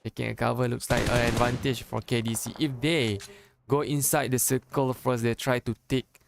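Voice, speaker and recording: A man from Malaysia with a Malaysian accent, non-native English, giving halting play-by-play commentary for a mobile battle game, repeating and restarting phrases. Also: medium pitch, creaky, slight background noise. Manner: gets excited during fights. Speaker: esports caster.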